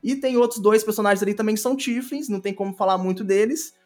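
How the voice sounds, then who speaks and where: A man's voice: high voice, fast talker, soft background music. A Brazilian man speaking casually as an interview guest, explaining in a rambling way and often rephrasing himself. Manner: rambling, self-correcting, repeats phrases. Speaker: podcast guest, audio drama creator.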